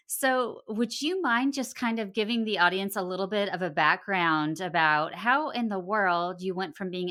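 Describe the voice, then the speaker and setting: A woman's voice: medium pitch, pushed and bright. A middle-aged woman hosting a podcast, introducing her guest and asking questions. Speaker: podcast host.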